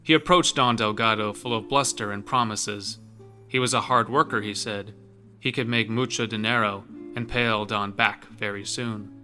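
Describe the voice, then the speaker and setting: Male horror narrator, fairly deep with a sharp bright edge, scripted storytelling. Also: steady tone, normal speed, faint music behind.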